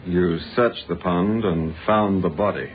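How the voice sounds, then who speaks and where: Casting: curious, genial British friend in a vintage radio drama, lowish voice, phone-like recording. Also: male voice, measured pace. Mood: curious, attentive. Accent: British English. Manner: conversational, inquisitive. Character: inquisitive, genial male confidant of the inspector. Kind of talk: old-fashioned British radio drama acting.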